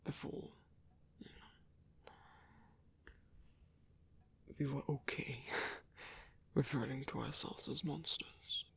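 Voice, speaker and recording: A man voicing a lonely, gentle old vampire in an ASMR roleplay, with a husky, breathy whisper and halting, formal, musing speech. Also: mid-range voice, fairly even intonation. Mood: wistful. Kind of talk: ASMR roleplay audio drama.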